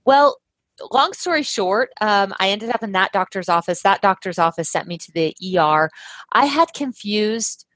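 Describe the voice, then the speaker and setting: A woman's voice: mid-range voice, animated, moderate pace, fairly bright tone, no room sound. A woman guest telling her own health story in an earnest, emphatic conversational way.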